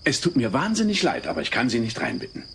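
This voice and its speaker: Baffled, courteous man, expressive pitch. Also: fast. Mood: politely evasive. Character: baffled, drawling, polite male Elvis-lookalike collector. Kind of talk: dubbed sitcom audio play. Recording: noisy old cassette transfer.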